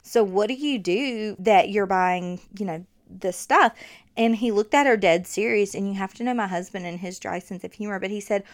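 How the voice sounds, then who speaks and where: A woman's voice: medium pitch, normal speed. A woman with a thick Southern accent hosting her own podcast, chatting casually with fillers and laughter into a new microphone. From Alabama. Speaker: podcast host.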